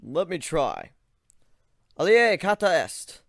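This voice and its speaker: A man's voice: fairly high pitch, sing-song, slow, fairly bright tone, no room sound, studio quality, voice pushed up, full character voice. A man voicing an eager young male zebra learning magic, in a storybook reading.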